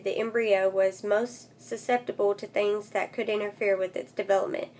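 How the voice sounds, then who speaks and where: A woman's voice: on the high side, a little mellow. A woman vlogger with a congested, nasal voice, recovering from losing it, speaking in a casual update style and recorded on a phone. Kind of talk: casual update vlog, reading aloud from a book. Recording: clear background noise, phone recording.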